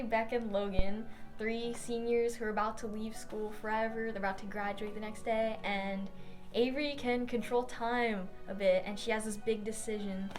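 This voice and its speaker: A young adult woman giving casual interview answers as a playwright. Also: a little high. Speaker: student playwright. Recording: faint music behind.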